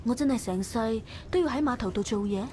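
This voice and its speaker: A woman's voice: on the high side, a little fast, dark tone, a little hiss or hum, natural voice. A woman playing a wistful young female dock worker thinking aloud in a visual novel, with a Hong Kong Cantonese accent. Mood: wistful, pensive. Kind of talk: visual novel inner monologue.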